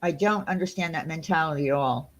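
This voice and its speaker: A woman with a Long Island New York accent talks on her livestream in a chatty, rambling way, full of fillers and restarts. Her voice sits a little low for a woman. She sounds indignant and opinionated as she answers her chat. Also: brisk. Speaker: livestream host reading chat.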